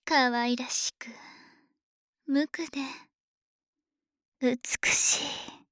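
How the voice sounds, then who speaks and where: Female actor as a dragon maid, high breathy whisper, slow pace, polite servant phrasing, possessive and menacingly doting, ASMR drama. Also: fairly even intonation, dry recording, studio quality, heavily acted. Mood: gloating, doting. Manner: slow, honorific servant speech, softly teasing. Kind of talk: Japanese ASMR situation voice drama. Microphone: close microphone, dry studio recording.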